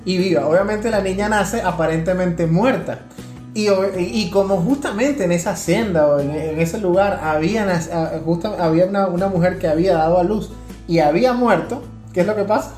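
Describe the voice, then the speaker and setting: Low-pitched woman chatting in an echoey room. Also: a little fast, mellow. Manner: prompting with questions and interjections. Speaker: podcast co-host. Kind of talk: casual conversational podcast chat. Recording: roomy echo with music behind.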